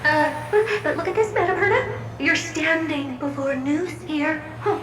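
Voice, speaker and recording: A woman voicing a floating puppet helper in a video game, who flatters her mistress and narrates in a theatrical, playful way. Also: high-pitched, measured pace, heavy background noise. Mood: fawning. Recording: roomy and distant, with music. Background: game music.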